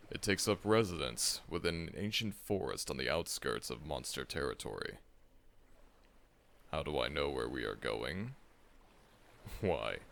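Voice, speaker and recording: A man's voice: very deep voice, gravelly, a bit bright, full character voice. A man voicing a seasoned, friendly veteran monster hunter in an audio roleplay, chuckling often and teasing the listener.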